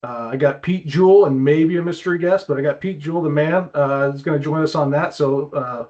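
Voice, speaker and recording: A man hosting a podcast in a casual, chatty style with halting, informal phrasing. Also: on the high side, darkish tone. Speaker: podcast host, climbing wall builder. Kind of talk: casual chat. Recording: muffled, dull lo-fi audio.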